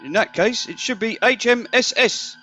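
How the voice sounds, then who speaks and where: A man playing an excitable, literal-minded Home Guard corporal in a comedy radio play. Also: very high-pitched, normal speed, soft background music.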